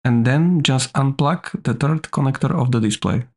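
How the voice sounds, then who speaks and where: A man narrates a how-to repair guide. His delivery is instructional, laid out step by step. His voice sits at an ordinary middle pitch for a man. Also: normal speed.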